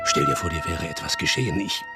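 A protective, commanding medieval nobleman in a radio drama. His voice is fairly low and swings expressively in pitch. Music plays clearly behind him. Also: animated, quick pace. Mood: restrained, tender. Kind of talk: German radio drama acting.

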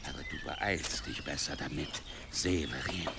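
An actor in a German audio drama playing a cruel, volatile medieval warlord who menaces his men with theatrical, drawn-out delivery. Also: rumbling low, gravelly, heavy background noise. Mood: cold, scheming. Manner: menacing, theatrical, drawn-out, swinging from hushed to shouting.

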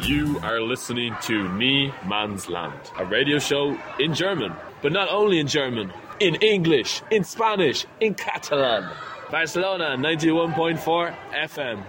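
A man's voice: a little high, expressive, unhurried, music in the background, low-quality recording. A man announcing a radio show as a station ident.

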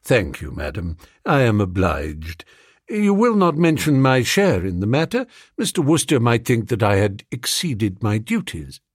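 A man voicing a discreet, deferential English valet in an audiobook, formal, measured and deadpan. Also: mid-range voice, slightly rough, no echo, clean studio sound. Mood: smoothly deferential.

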